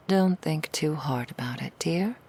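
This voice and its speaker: A woman playing a seductive female demon in an ASMR roleplay, coaxing the listener in a breathy whisper with soothing, insidious persuasion. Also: low voice, a little mellow, heavily acted. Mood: possessive, soothing. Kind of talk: ASMR roleplay, intimate monologue.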